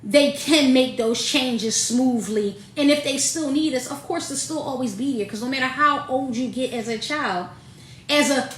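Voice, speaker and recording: Animated woman in her thirties, echoey room. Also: sits high, normal speed, a little hiss or hum. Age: 30s. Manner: animated, laughing, dialect-heavy slang. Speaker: podcast co-host, mother. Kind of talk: casual chat.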